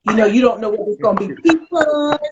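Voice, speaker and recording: A woman's voice: fairly high pitch, expressive, fast, muffled recording, background noise. An American woman hosting a casual interview in a chatty, playful, folksy way. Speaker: talk show host.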